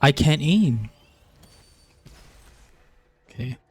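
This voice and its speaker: A man's voice: medium pitch, animated, drawn-out words, darkish tone, husky. A man streaming a video game and chatting casually as he plays. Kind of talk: casual chat while playing a game.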